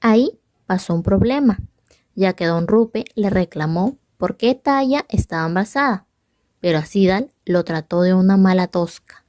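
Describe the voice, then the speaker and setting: A woman's voice: mid-range voice, animated, normal speed, a little mellow, no room sound. A young woman, a student presenter, reading a scripted story summary as narrator. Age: young adult.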